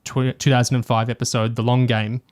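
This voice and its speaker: A man's voice: mid-range voice, level tone, a little fast, a little mellow. Man in casual review discussion. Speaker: podcast co-host.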